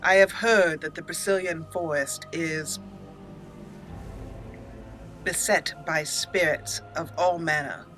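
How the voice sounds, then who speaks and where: A woman's voice: low voice, fairly even intonation, takes it slowly, pauses often, fairly bright tone, faint music behind. A woman hosting a gaming video, giving let's play commentary and roleplaying her character's lines.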